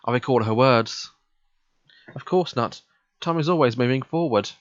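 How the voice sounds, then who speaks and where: A man's voice: medium pitch, moderate pace. A man reads visual novel narration and dialogue aloud for a let's play. His delivery is casual and conversational. The recording is a little dull and lo-fi. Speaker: let's play reader voicing the protagonist.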